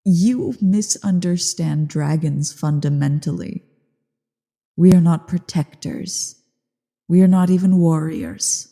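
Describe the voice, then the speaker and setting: A woman voicing an ancient evil female dragon in a slow ASMR roleplay monologue, haughty, bored and menacing, with a low, husky, creaky delivery. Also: lively intonation, dark tone, heavily acted. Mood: haughty, disdainful. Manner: haughty, disdainful, deliberate. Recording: long cavernous reverb.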